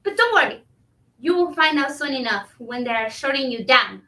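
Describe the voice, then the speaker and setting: A woman's voice: high voice, expressive, normal speed, sharp tone, full character voice. A woman playing a defiant, outspoken restaurant worker in a staged reading, firm and confrontational. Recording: roomy echo, distant microphone.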